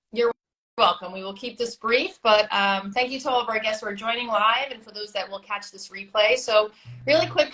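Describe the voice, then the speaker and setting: A woman's voice: mid-range voice, fairly bright tone, low-quality recording. A woman hosting a live-streamed interview, gushing and enthusiastic toward her guest. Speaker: host.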